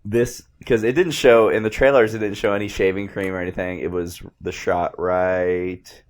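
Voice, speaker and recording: A man giving casual, slangy, excitable fan commentary as he watches a movie. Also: low voice, normal speed. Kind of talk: casual film reaction commentary.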